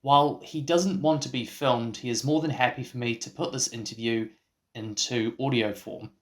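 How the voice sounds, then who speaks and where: Formal New man conducting an interview. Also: medium pitch. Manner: formal, measured, dry. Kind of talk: scripted interview in a radio play.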